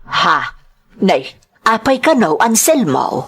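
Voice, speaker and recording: A woman's voice: medium pitch, very animated, slow, a bit bright, slightly rough, full character voice. Woman playing plaintive Ilocano older mother. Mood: troubled, questioning. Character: anguished, protective older mother of a married son. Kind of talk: Ilocano radio-style melodrama acting.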